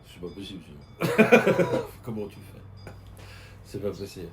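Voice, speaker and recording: A man's voice: lowish voice, measured pace, background noise. A man with a Swiss French accent from Vaud, bantering casually and laughing often, repeating his jokes. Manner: laughs often, lively joking, repeats phrases.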